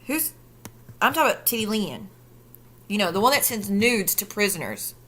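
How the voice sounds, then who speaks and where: A woman's voice: medium pitch, very animated, moderate pace, bright, forceful tone. A woman streaming reaction commentary, sarcastic, profane and incredulous.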